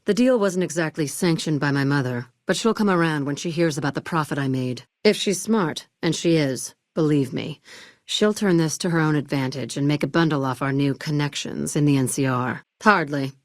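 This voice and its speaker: A woman acting in a video game as a shrewd, coolly controlled arms dealer and crime-family boss, speaking in a measured, polished, commanding way. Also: deep voice, no room sound, studio quality, natural voice. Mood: smug, calculating. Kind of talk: scripted game dialogue acting.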